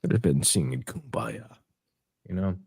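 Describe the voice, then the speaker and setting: Animated man in his forties, casual chat. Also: sits low, moderate pace, vocal fry, crowd noise. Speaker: livestream panelist.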